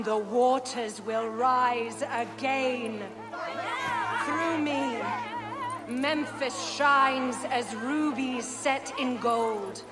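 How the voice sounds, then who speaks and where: An actress playing an imperious, cold young queen who styles herself a living goddess, speaking in a regal, grandiose way in a video game. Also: fairly high pitch. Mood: grandly proclaiming. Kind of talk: dramatic video game acting.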